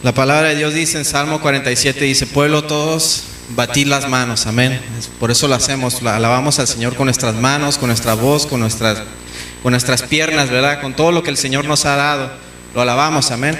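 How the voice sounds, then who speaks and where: A man from Mexico, preaching a teaching sermon in a church, often pausing with fillers and restarts. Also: mid-range voice, steady tone, sharp tone. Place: church hall. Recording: echoey church room with faint noise.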